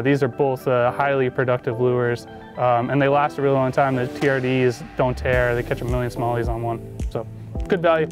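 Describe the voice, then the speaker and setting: Higher-pitched man, chatty and informal. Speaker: tackle shop staffer. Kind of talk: casual gear walkthrough to camera. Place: indoors in a small tackle shop. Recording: music behind the voice. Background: music, guitar.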